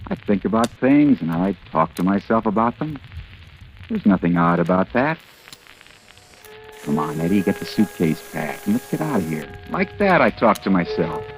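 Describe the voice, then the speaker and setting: An actor playing an odd, uneasy loner in a 1940s radio monologue, confiding and defensively repeating himself, with music behind. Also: male voice, lowish voice, poor audio. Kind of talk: 1940s radio acting, first-person monologue. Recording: old radio recording with music bed.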